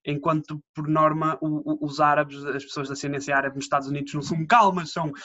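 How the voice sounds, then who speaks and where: A young man with a European Portuguese accent, co-hosting a casual podcast chat between friends in a jokey, animated way. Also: fairly high pitch, quick pace. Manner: jokey, animated, does silly voices. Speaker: podcast co-host. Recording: dull lo-fi sound.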